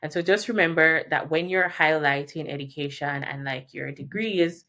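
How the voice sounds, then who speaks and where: A woman's voice: low voice, normal speed, sharp tone, highs cut off. A woman from Jamaica explains things informally as an advice presenter, conversational, repeating key terms and correcting herself as she goes. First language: Jamaican Patois and English. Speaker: career and relocation advice presenter.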